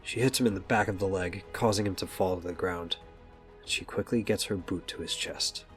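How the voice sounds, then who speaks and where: Deep-voiced male narrator over faint music. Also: moderate pace. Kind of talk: scripted story narration.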